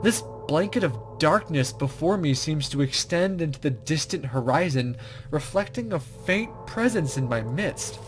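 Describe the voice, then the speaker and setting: Chatty gamer doing a let's play, mid pitched voice, pokes fun at themself, reads game text out loud. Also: expressive, normal speed, faint music behind. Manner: chatty, self-deprecating, giggly asides. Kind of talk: casual gaming commentary and reading game text aloud.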